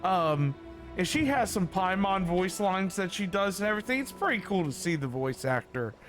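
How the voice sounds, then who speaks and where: A man's voice: high-pitched, sing-song, moderate pace, fairly bright tone. A man streaming a game and chatting casually in a rambling, informal way. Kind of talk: casual livestream chat. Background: faint music.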